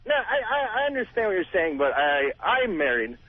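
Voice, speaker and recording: Husky young man calling a radio show. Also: high voice, very animated, moderate pace, no echo. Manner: hesitant, conciliatory. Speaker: radio show caller, Marine. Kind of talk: casual call-in conversation. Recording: dull lo-fi radio call.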